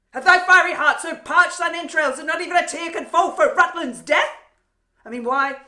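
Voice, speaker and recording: Roomy echo, vengeful warrior queen, bright forceful voice, theatrical, mocking, Shakespearean stage monologue. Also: lowish voice. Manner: theatrical, taunting, drawn-out pauses. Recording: roomy, echoing untreated room.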